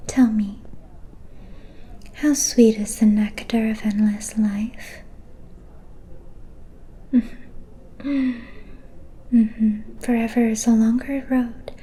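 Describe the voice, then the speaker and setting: Woman acting an ancient vampire seer, soft and dark. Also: a little high, level tone, extremely slow, long pauses, slight background noise, low-quality recording. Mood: knowing, musing. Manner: solemn, portentous, mysterious. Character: ancient mystical female vampire seer, solemn and cryptic. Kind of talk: whispered ASMR roleplay.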